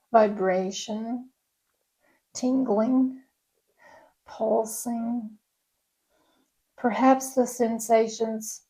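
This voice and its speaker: A woman leads a guided relaxation as a yoga instructor. Her voice sits a little high but is mellow and relaxed. She speaks very slowly and gently, soothing the listener along. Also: low-quality recording. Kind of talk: guided meditation.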